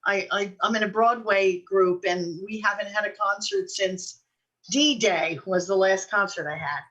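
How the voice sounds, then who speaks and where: A woman's voice: medium pitch, measured pace, fairly bright tone, rough recording. An older woman co-hosting a show, talking in a chatty, effusive way in casual conversation. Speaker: podcast co-host and producer. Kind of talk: casual chat.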